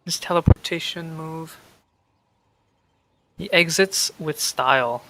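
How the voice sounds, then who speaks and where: A man's voice: a little high, slow pace, sharp tone. A young man giving casual playthrough commentary as a game streamer.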